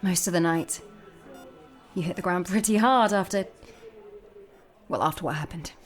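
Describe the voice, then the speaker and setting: A woman playing a tender, regretful adult sister, speaking gently and haltingly in an audio drama. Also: fairly low pitch, soft background music, natural voice. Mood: reassuring.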